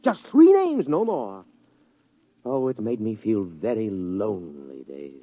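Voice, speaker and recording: An actor playing a conceited, self-adoring bohemian painter, pompous and theatrical, in a 1950s radio drama. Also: male voice, lowish voice, big pitch swings, unhurried. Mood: grandiose musing. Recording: slightly dull, hissy vintage radio recording.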